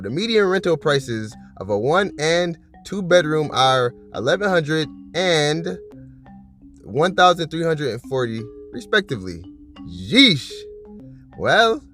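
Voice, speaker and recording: Laid-back chuckling male vlogger, lively voice. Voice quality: deep and bassy from just waking up. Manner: rambling, laughs often, many fillers. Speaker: vlogger host. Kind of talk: casual chat and reading out list items.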